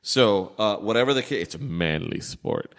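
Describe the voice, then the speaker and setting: A man's voice: on the low side, expressive, normal speed, fairly bright tone. Man, sports podcast host, blunt, opinionated, crude joking banter, long room reverb.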